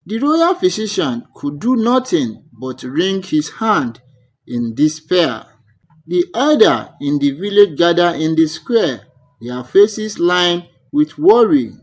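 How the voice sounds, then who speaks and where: A male narrator tells a folktale with dramatic care. His voice sits fairly high and moves in very lively swings, while the delivery stays very slow and deliberate. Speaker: storyteller, narrator.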